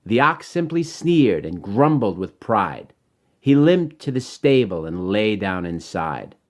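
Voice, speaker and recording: A man reading his own rhyming children's story in an expressive, dramatic way. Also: fairly low pitch, slow pace.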